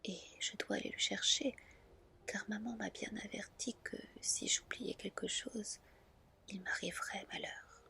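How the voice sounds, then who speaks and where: A woman's voice: medium pitch, fairly even intonation, measured pace, creaky, bright, forceful tone, heavily acted. A woman voicing an eager, polite young girl in a whispered fairy tale, with a pleading manner. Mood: worried.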